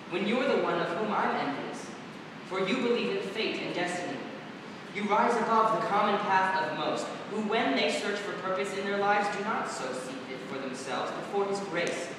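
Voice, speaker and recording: A man's voice: high-pitched, lightly acted. A man playing a proud, wounded dream-spirit lover in a verse stage play, declaiming with sardonic grandeur. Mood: earnest. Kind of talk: staged reading of verse drama. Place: theatre or hall staged reading. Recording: very echoey hall, distant mic, noisy.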